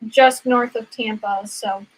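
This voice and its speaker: A woman's voice: on the high side, steady tone, slow, rough recording. A woman from the American Midwest with an American accent, chatting casually in a friendly, slightly hesitant way. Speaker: guest in a group chat. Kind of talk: casual chat.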